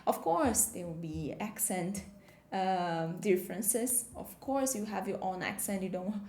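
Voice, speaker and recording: A woman's voice: medium pitch, expressive, a little slow, darkish tone, reverberant, a little hiss or hum. A Brazilian woman speaking English with a Brazilian accent, teaching an online language class in an encouraging way, with fillers and restarts. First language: Portuguese.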